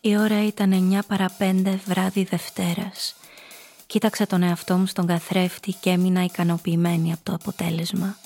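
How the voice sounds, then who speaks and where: An actress playing a calculating seductress who narrates her own story in a radio drama, measured and intimate. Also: on the low side, little pitch movement, gravelly, a little mellow, faint music behind. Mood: self-satisfied, seductive.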